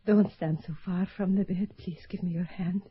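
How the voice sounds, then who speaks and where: A frail young woman speaks softly and breathily, her voice husky and weak. Her delivery carries the earnest tone of a radio drama heard on a dull, lo-fi recording. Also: on the low side, fairly even intonation, normal speed. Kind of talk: 1970s radio drama acting.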